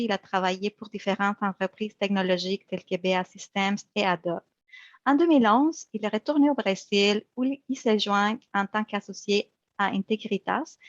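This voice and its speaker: A woman's voice: medium pitch, no echo. A woman moderating a webinar, formally reading out a prepared introduction of the guest speaker. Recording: dull lo-fi web audio.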